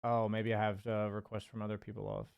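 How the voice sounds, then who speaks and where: A man's voice: on the low side, completely flat delivery, quick pace, creaky, clear, resonant tone, dull audio. A man coaching a player one-on-one in a loose, chatty way, with lots of fillers and the odd swear word. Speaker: game coach. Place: streaming from home.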